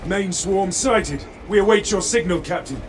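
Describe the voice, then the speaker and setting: An actor plays a disciplined warrior-monk who leads his squad in formal, measured phrases. His voice sits fairly high for a man, and music runs behind him. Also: lightly acted. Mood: resolute. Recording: game audio over loud music.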